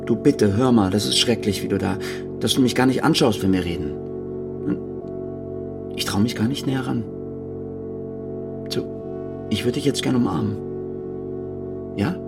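An actor playing an anxious writer pleading with his partner in a naturalistic radio drama, halting and urgent. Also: fairly low pitch, creaky, over music. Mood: hurt, pleading.

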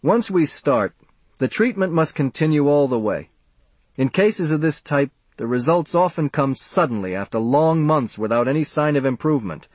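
A man voicing a fat, self-important older doctor in an audiobook, with a pompous, measured, clinical manner. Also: fairly high pitch, lively intonation, no echo, voice pushed up. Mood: firm, lecturing.

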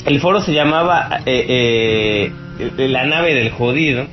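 Halting Mexican man over prominent music. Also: mid-range voice, dull audio. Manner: halting, rambling, opinionated. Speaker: radio show host. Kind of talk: informal political commentary monologue.

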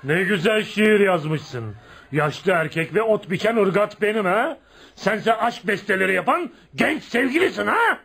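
A man's voice: sits high, animated, unhurried, creaky, a bit bright, breathy edge, exaggerated voice. A man acting a fierce, bossy, protective young man in a Turkish radio play, with an emphatic, theatrical delivery. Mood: menacing, mocking.

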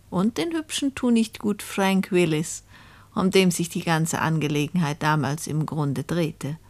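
An actress playing a reflective older woman who narrates a radio crime play in a deliberate, confiding manner. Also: lowish voice. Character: reflective woman narrator recalling an old murder case.

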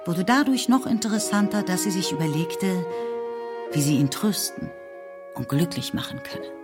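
A woman's voice: lowish voice, animated, moderate pace, over music. A woman giving composed, scripted literary narration as the storyteller of a radio play.

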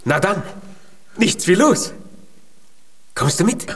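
A man's voice: high-pitched, sing-song, slow, a bit bright, slightly rough, heavily acted. An actor in a children's radio play, playing a tiny male giant who is naive, earnest and full of hopeful pluck. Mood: eager, plucky.

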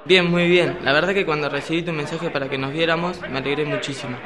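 A man's voice: fairly high pitch, fairly even intonation, fast talker, crowd noise, natural voice. A young man playing a friendly revolutionary veteran in an amateur student radio play. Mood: warm surprise. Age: teenager or young adult. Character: French, friendly revolutionary veteran meeting old comrade. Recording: echoey hall, music behind.

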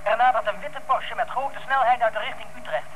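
A fast, very high-pitched policeman at a roadblock reports in clipped procedural phrases. It sounds like a police radio in an old radio play. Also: fairly bright tone, slight background noise, low-quality recording. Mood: urgent.

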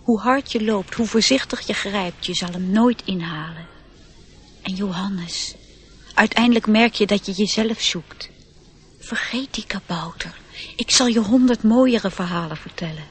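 An actress playing a wise, protective elf, earnest and gently admonishing, in a radio play. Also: female voice, medium pitch, unhurried, slightly rough. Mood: soothing, admonishing. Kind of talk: radio drama acting. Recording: noisy radio drama recording.

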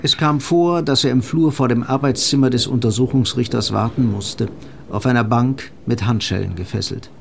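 A man's voice: mid-range voice, moderate pace, vocal fry, a little hiss or hum, lightly acted. A man reading scripted literary narration as the sober narrator of a crime audio drama. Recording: faint music behind narration.

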